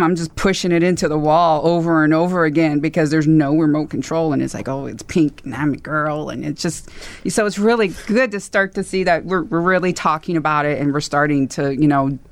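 Smooth-voiced woman telling a story. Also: low-pitched, dry recording. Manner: storytelling, exasperated. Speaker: panelist. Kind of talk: casual group chat.

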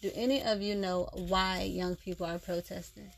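A woman's voice: medium pitch, steady tone, moderate pace, gravelly, rough recording. A woman reading the lines of a tired male history teacher leading a class discussion. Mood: lecturing. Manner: lecturing, a little tired.

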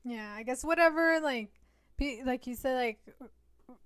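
High-voiced woman chatting casually. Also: normal speed, a bit bright, no echo, clean studio sound. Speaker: podcast co-host. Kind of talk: casual chat.

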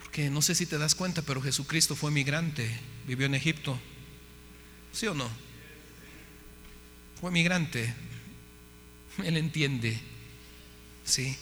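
A man gives a fervent preacher's testimony in a fairly high, bright voice. He keeps turning to his listeners with questions. The sound carries a long hall reverb over a faint electrical hum. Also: takes it slowly, pauses often. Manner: impassioned, asks the audience questions. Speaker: missionary preacher. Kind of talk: testimony sermon to a congregation.

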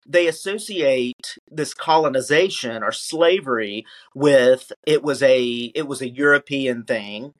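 Emphatic man guest, mid-pitched. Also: a little slow. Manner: emphatic, restarting sentences, many "you know" fillers. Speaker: podcast guest. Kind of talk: casual conversational interview.

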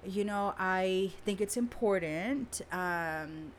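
A young woman from San Diego whose first language is Spanish talks in a frank, candid way and swears casually. Her voice sits at an ordinary middle pitch for a woman. There is a faint hiss behind her. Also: takes it slowly. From San Diego, California. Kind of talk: casual conversation.

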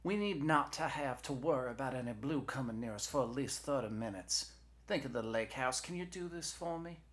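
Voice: mid-range voice, expressive, fairly quick, a bit bright, voice pushed up, lightly acted. A storyteller voicing a small male talking head with a mustache, a sly charmer with a coaxing, folksy way of talking. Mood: urgent, sweet-talking.

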